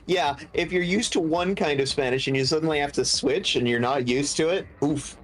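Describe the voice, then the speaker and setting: A man's voice: on the high side, moderate pace. Chatty man in his forties. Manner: chatty anecdotes, animated quotes. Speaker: podcast guest. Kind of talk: casual chat.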